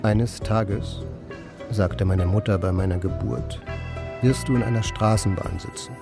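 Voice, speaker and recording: Low-voiced, brooding idler, music behind. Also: male voice, creaky. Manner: deadpan, musing, absurdly questioning. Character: aimless, brooding man, eccentric idler and narrator. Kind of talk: German radio play, dialogue and inner monologue.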